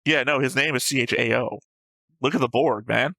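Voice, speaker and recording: A man's voice: medium pitch, brisk, creaky, pushed and bright, no echo. A man chatting casually as a reaction show host, blunt and argumentative, with frequent swearing. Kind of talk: casual chat.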